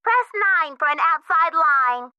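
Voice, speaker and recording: An actress playing an automated voicemail system in a video game, reading out phone menu prompts. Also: female voice, sits high, expressive, measured pace, dry recording, highs cut off. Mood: neutral.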